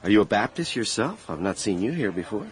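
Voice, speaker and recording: An actor playing a flamboyant, ambitious travelling salesman in a period radio drama, self-assured and flattering. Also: fairly low pitch, fairly quick. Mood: charming, courting. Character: Beck Tull, flamboyant, ambitious travelling salesman, smooth-talking suitor.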